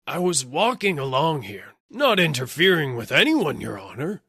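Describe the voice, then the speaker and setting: An indignant working man acted by a male narrator pleads his case in a storybook. His voice is pushed high and swings widely as he whines and wheedles. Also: very animated, moderate pace, pushed and bright, breathy edge, dry recording, studio quality, full character voice. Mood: aggrieved, pleading. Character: aggrieved, whining male workman seeking damages. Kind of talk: audiobook character acting.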